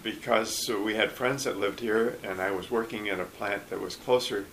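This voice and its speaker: An older man from Michigan recalling his past in a relaxed interview, speaking haltingly with frequent restarts and small chuckles. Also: lowish voice, a little hiss or hum, low-quality recording. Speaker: oral history interviewee. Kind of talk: casual recollection.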